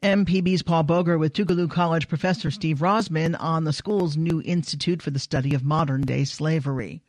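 A man's voice: on the high side, fairly even intonation, fairly quick, no room sound. A male radio host reading scripted announcements in a public radio style, recorded in a studio.

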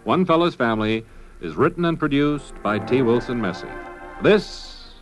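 A man's voice: mid-range voice, lively intonation, faint music behind, natural voice, poor audio. Pompous radio serial announcer, put on by a man. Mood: solemn. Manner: pompous, measured, drawn-out. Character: portentous, pompous male radio soap announcer. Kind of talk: mock old-time radio announcing.

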